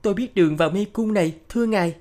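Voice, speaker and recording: A man's voice: high voice, rapid-fire, darkish tone, soft background music, natural voice. A man playing an eager, naive young son in a cartoon. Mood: helpful. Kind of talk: cartoon dub acting.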